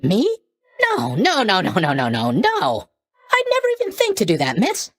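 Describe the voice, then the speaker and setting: Casting: very high-voiced, bouncy young sleep god for a game; folksy, rambling greeter, swooping intonation, tactless but sunny. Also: male voice, huge pitch swings, moderate pace, no echo, heavily acted. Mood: chatty, bashful. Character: cheerful, drowsy young male god of sleep, chatty underworld greeter. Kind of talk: animated video game character voice lines.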